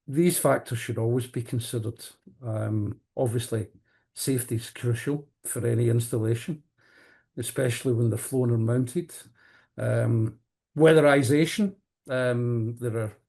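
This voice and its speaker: A man with a dark, mellow voice answers interview questions in an explanatory way. He speaks haltingly, often doubling back on the first sound or word of a phrase. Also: medium pitch, creaky. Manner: halting, hesitant, repeats word beginnings. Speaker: company executive being interviewed. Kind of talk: interview answers on professional audio products.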